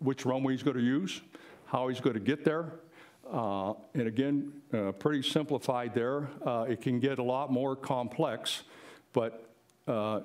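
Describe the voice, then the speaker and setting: Older guy presenting, plain-spoken, walking people through stuff step by step, medium pitch. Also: darkish tone. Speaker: presenter, retired air traffic controller. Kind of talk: informal explanatory presentation to a live audience.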